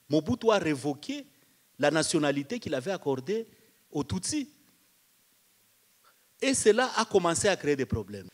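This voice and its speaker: A man speaking on a panel at a public debate, explaining didactically with rhetorical repetition and questions. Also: high-pitched.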